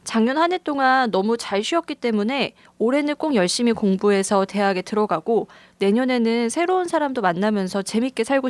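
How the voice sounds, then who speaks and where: A woman from Seoul reads a listener's letter on a book podcast in a fairly high voice. Her reading is even and attentive, and the dry, close studio sound carries no room echo. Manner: bright reactions, steady reading. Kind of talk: casual chat and letter reading.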